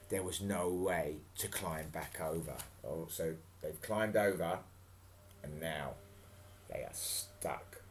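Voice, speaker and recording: A man's voice: deep voice, a little hiss or hum. A man reading a storybook dramatically and breaking off for chatty, playful asides.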